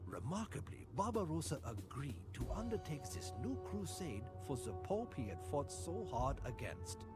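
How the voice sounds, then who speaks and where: A man plays a grave game narrator telling tales of medieval history. His pitch rises and falls very widely as he delivers scripted lines with theatrical, measured weight. Loud music plays behind him. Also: close to an everyday voice. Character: grave male storyteller recounting medieval history. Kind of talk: scripted dramatic storytelling narration.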